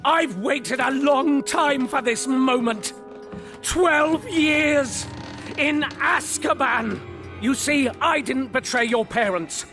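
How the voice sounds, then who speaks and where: A man playing a vengeful, wronged middle-aged fugitive wizard in a video game, speaking with slow, deliberate intensity. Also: very high voice, full character voice. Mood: intense, bitter. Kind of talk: dramatic video game acting. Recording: loud music over the voice.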